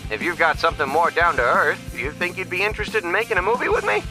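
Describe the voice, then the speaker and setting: Man as a high, brash Hollywood big shot. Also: animated, fast talker, over loud music. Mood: flattering, pitching. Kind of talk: animated comic dub acting.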